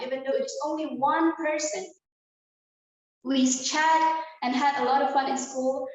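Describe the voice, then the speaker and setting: A woman's voice: high-pitched, very echoey, poor audio. A young woman from Indonesia giving an earnest, prepared inspirational speech as a student, talking straight to her audience over a video call. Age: young adult. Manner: earnest, addresses audience directly. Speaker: student speaker.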